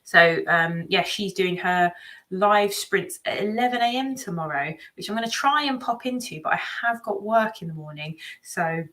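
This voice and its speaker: A woman's voice: lowish voice, fairly bright tone. A woman hosting a book readalong livestream, chatting casually in a hesitant way as she thinks aloud. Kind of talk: casual live-stream chat, reading out comments and cards.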